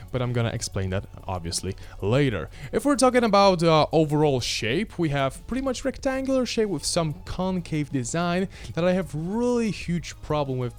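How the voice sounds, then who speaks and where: A man's voice: fairly high pitch, clean tone. A man in his twenties with a Polish accent, reviewing a product casually to camera with informal, wry asides. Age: 20s. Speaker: video host, product reviewer. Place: small indoor room. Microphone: handheld microphone held near the chest.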